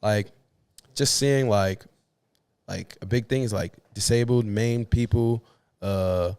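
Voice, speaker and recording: A man's voice: lowish voice, slow, darkish tone, clean tone, no echo. A man, a podcast guest talking casually and thoughtfully, often restarting and repeating words as he searches for how to put things. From Australia. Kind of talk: casual conversation.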